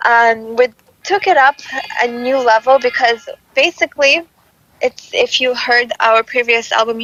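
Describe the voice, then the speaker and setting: A woman from Russia talks with a bright, forceful voice set a little high. She is a friendly, chatty singer answering questions in a casual interview. The sound is dry and close. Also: no room sound, muffled recording. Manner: friendly, chatty, laughs easily.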